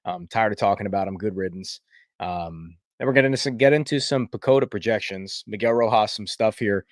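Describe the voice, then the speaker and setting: A man's voice: on the low side, brisk, no room sound, clean studio sound. A man with a stuffy, congested voice hosting a casual live sports chat in a rambling, filler-heavy way. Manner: rambling, chatty, many fillers. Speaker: podcast host.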